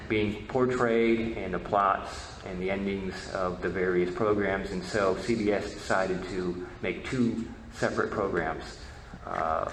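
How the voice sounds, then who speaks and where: Guy doing a history talk, kinda deep, stumbles and restarts a lot, roomy echo. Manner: halting, repeats and rephrases words. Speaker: presenter, media researcher. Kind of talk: informal history lecture. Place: indoor presentation room. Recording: echoey room, faint background noise.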